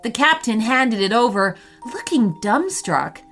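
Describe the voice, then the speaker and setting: Female bedtime story narrator, expressive and slow-paced, with very animated intonation over soft music. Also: a little high. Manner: expressive storytelling for young children. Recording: music behind the voice.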